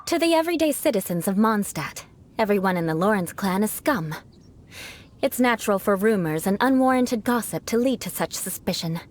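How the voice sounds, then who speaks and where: A woman's voice: mid-range voice, lively intonation, a little fast, a little hiss or hum, lightly acted. An actress playing a haughty, cool young noblewoman knight with a formal, vengeful air in a video game. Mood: composed, brisk. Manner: poised, formal, faintly imperious.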